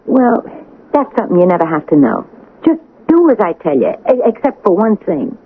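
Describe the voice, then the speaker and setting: Radio-drama schemer: cool-headed, controlling, conspiratorial, narrow vintage broadcast with faint noise. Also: female voice, mid-range voice, sing-song, fairly quick. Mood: scheming, coaxing. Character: scheming, cool-headed woman crook running the plan. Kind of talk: 1980 radio drama acting. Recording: band-limited old radio broadcast, some noise.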